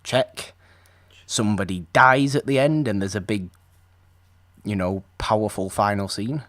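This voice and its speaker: A man's voice: lowish voice, unhurried, fairly bright tone, no room sound, clean studio sound. A man co-hosting a podcast, chatting casually about an old film and rambling with restarts. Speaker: podcast co-host.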